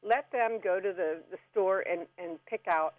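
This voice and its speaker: A woman's voice: fairly low pitch, a little slow, no room sound. A woman giving casual, chatty step-by-step instructions as a radio guest, in a folksy way. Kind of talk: casual step-by-step how-to chat. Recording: band-limited phone-like radio call.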